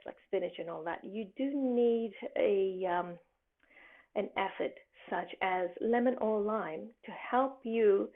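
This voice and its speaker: A woman talks through health tips in a chatty, rambling way, full of fillers and restarts. Her voice is a little croaky from a long day of talking. The sound is thin and phone-like. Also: mid-range voice. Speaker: wellness presenter. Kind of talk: casual health talk.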